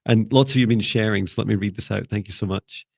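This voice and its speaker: Calm, low, gravelly-voiced man. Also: quick pace, dry recording, clean studio sound. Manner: calm, soft-spoken, gentle; hesitant, with repeated restarts. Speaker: spiritual mentor. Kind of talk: live online class, reading viewer comments.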